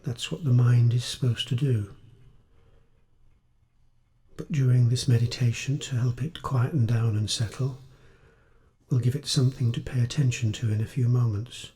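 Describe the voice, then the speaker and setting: A man leading a guided meditation as a teacher, speaking in a gentle, soothing, unhurried way with pauses. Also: medium pitch, fairly even intonation.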